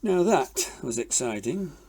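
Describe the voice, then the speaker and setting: Husky, grumpy older British man, acted by a man. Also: mid-range voice, sing-song, measured pace, warm tone, exaggerated voice. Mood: dry. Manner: blustery, blunt. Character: grumpy, teasing old widowed windbag with opinions. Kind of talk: audio drama acting.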